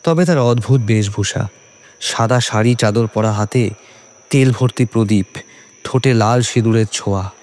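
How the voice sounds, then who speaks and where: A man's voice: mid-range voice, normal speed, a little mellow. A man reading a scripted horror story as a dramatic narrator. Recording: music under the voice. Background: background music.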